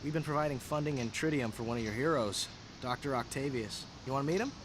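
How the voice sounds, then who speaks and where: A man's voice: mid-range voice, quick pace, noisy background, lightly acted. A man voicing a bitter, vengeful young heir in a video game. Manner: bitter, intense.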